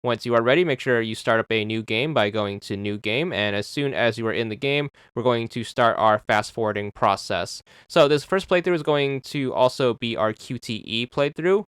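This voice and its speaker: A man's voice: medium pitch, moderate pace, hardly any pauses, a bit bright, clear, resonant tone, dry recording. A man narrating a game achievement walkthrough, methodically repeating step-by-step instructions into a close microphone. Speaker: achievement guide host.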